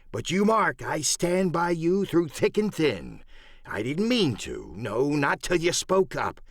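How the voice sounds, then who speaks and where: A cunning old pirate sea cook for an audiobook. His pitch leaps and plunges through rustic sailor talk, blustering one moment and wheedling the next. The sound is close and dry. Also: dry recording, full character voice. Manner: rustic sailor speech, emphatic and wheedling by turns. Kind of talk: dramatic audiobook character acting.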